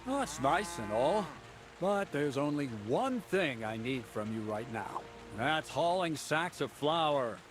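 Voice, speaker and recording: A gruff, mocking miller boss. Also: male voice, a little high, very animated, slow, over music. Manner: dry, mocking, bossy. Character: gruff middle-aged male miller boss.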